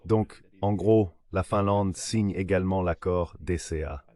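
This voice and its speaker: A man's synthetic voice reading translated political discussion as a measured voice-over. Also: deep voice, darkish tone. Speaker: voice-over translator of interview guests. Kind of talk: dubbed political discussion, measured read delivery.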